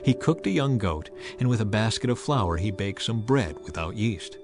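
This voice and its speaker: A man's voice: fairly low pitch, expressive, normal speed. A man narrating scripture as a scripted, dramatized audio reading. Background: music.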